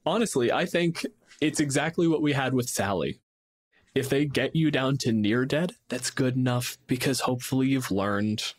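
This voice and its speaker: A man's voice: medium pitch, moderate pace. A man in a casual tabletop gaming discussion as co-host, reasoning aloud and acting out short examples. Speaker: podcast co-host and game master.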